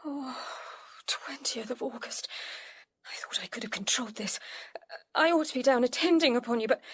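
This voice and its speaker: Heartsick devoted daughter, airy highish voice, fretful sincerity, period radio drama. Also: female voice. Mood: distraught, apologetic. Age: young adult. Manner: breathy, earnest, fretful. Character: grieving, devoted young daughter pining for a lost lover. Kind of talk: period audio drama acting.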